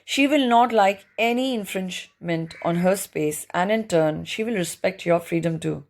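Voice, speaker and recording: Woman narrating, with a creaky edge. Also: on the low side, moderate pace, darkish tone. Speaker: narrator, reading a book chapter. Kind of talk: scripted audiobook narration of an astrology book.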